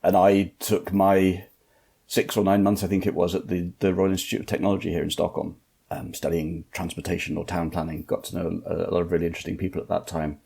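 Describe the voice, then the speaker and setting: Northern English male guest, deep husky voice, measured explainer. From Manchester, northern England. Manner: explanatory, steady, lots of fillers. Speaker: guest, transport planner. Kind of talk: podcast interview, explaining.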